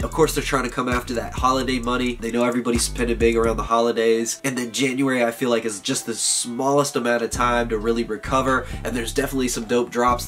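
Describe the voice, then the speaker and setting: A man in his thirties with a cold, sounding nasal and raspy, giving an upbeat, slangy sneaker review while seated indoors close to the camera. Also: medium pitch, a bit bright. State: congested with a cold. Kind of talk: casual product review. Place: indoor room lined with shoe boxes.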